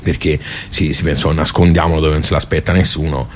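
Low-voiced, rambling Tuscan village club regular. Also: male voice, fairly even intonation, fairly quick, slight background noise. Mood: nostalgic, wry. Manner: rambling, folksy, digressive. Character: chatty nostalgic male regular of a village social club. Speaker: actor in a radio theatre monologue. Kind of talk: colloquial storytelling monologue, radio theatre.

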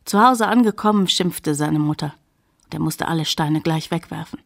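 A reflective woman narrator in a radio play. The voice sits a little low for a woman and has a marked creak. The delivery is measured storytelling, looking back thoughtfully. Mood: calm narration.

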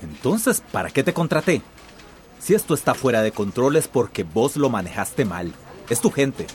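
A man's voice: mid-range voice, very animated, moderate pace, soft background music, lightly acted. Guy doing a nasty cynical boss in a radio play, bossy and impatient, voice jumps around a lot. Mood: impatient, scornful. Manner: domineering, insulting, impatient. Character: bullying, cynical company boss ordering bribes. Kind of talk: radio drama acting.

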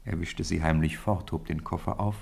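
A man narrating a radio play as a gentle, measured storyteller, with a gravelly, husky voice. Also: deep voice, steady tone, full character voice. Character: measured, gentle male storyteller of a Christmas tale.

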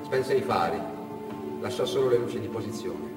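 A man's voice: fairly low pitch, animated. Echoey, distant man narrating dramatically. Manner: deliberate, intense, short measured phrases. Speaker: stage actor and storyteller. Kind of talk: dramatic theatrical narration of a story. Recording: echoey hall, distant mic, music behind.

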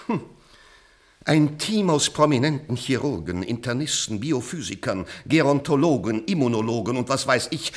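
An actor playing an impatient, patronizing doctor in a science-fiction radio play, mocking and blustering. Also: male voice, fairly high pitch, a bit bright. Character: condescending, impatient male doctor, an irritable overseer of minds.

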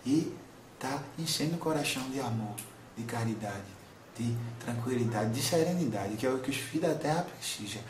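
A man's voice: mid-range voice, animated, normal speed, roomy sound, over music, close to an everyday voice. A man speaking as a wise, tender old grandmother spirit in a spiritual counselling session, soothing and calling the listener son again and again. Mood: gentle. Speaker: spirit medium.

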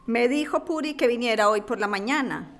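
Radio drama: actress as courteous cleaning woman, higher pitch, patient, respectful, quietly standing her ground. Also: normal speed, close to an everyday voice. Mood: polite, placating. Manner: deferential but firm. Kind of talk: acted audio drama dialogue.

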